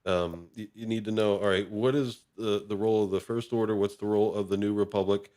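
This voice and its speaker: A man chatting casually about films in a halting way, thinking aloud as he goes. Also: lowish voice, fairly even intonation, mellow. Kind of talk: casual film discussion.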